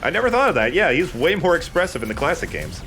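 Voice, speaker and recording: A man's voice: fairly high pitch, sing-song, fast talker, over music. A man doing informal, opinionated commentary over a video game as a let's player.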